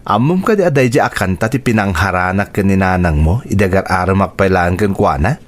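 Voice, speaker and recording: Masayahin at mapagmahal na ama. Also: male voice, low-pitched, expressive, a little fast. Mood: proud, teasing. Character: fond, proud, playful father and husband. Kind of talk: Ilocano radio drama acting.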